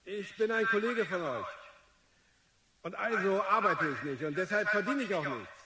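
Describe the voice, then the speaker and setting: A man's voice: sits high, lively intonation, moderate pace. An actor playing an impassioned young male student activist, making a declamatory, defiant speech in a radio drama. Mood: defiant, pleading. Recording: echoey hall with crowd behind.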